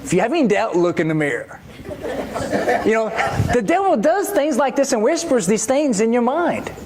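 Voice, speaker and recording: A mid-pitched preacher talks through a church sermon as if chatting with friends. The delivery keeps turning to the congregation with rhetorical questions. A faint hiss sits under the voice. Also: sing-song, normal speed. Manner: conversational, full of rhetorical questions.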